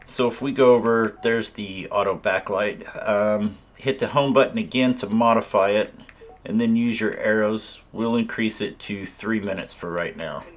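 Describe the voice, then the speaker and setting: Low-pitched man, casual gadget tutorial. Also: fairly even intonation, moderate pace, slight background noise. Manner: informal step-by-step explaining. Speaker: radio reviewer. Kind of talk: casual hands-on product tutorial.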